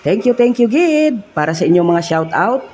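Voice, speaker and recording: A woman's voice: medium pitch, moderate pace, lightly acted. A woman voicing a cheerful, teasing old grandpa who hosts a comedy radio program with exclamations and announcements. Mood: upbeat. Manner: animated, exclaiming, giggly. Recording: music behind the voice.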